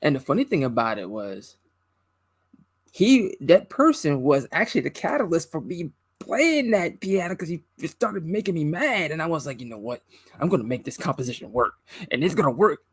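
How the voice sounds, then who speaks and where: A man's voice: on the high side, moderate pace, slightly rough, dry recording. A man, a guest musician and streamer, telling a story in casual chat and acting out other people's voices. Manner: animated, acts out other people's voices in quotes.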